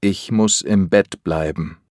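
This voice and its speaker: A man reading example sentences for a language course, with clear, deliberate enunciation. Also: sits low, a little mellow, no room sound, studio quality. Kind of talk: slow scripted language drill.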